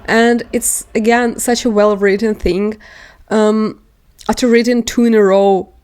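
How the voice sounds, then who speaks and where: A woman's voice: medium pitch, fairly even intonation, measured pace, a little mellow, clean tone. A woman whose first language is not English, chatting casually about books on her vlog, rambling and laughing often. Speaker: book vlogger. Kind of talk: casual chat.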